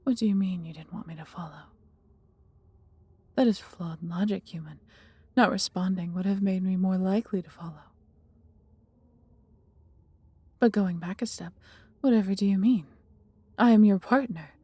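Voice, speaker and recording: A woman voicing a huge, proud, protective female dragon in a fantasy audio roleplay, with breathy, husky delivery and tender, formal, theatrical phrasing. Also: medium pitch, moderate pace, warm tone, dry recording, lightly acted. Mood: chiding.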